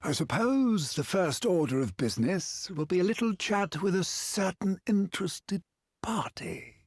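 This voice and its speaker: An actor playing a pompous, decadent game villain with a sneering, florid delivery. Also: male voice, high-pitched, animated, unhurried, a little mellow, husky, no room sound, clean studio sound, heavily acted. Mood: scheming. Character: pompous, decadent villain, bullying and self-indulgent. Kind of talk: dramatic video game voice acting.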